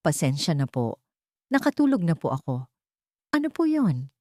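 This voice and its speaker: A woman's voice: deep voice, very animated, moderate pace, darkish tone, no room sound, studio quality. Woman acting a nervous, polite young housemaid. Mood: nervous, apologetic. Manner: polite, hesitant, apologetic.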